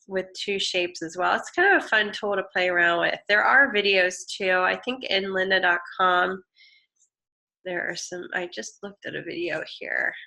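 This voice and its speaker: A woman's voice: medium pitch, vocal fry, bright, forceful tone. A woman teaching an online design lecture while sick with a head cold, sounding nasal and sniffly, chatting casually with fillers and restarts. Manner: casual, chatty, with frequent fillers and self-corrections. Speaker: design instructor. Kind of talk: live online lecture with screen demo.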